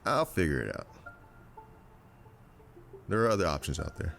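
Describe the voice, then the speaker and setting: A man's voice: deep voice, wildly swooping pitch, normal speed, vocal fry, fairly bright tone, faint music behind, exaggerated voice. A man acting a guarded, restless and loyal operative with a wry manner in an audio drama.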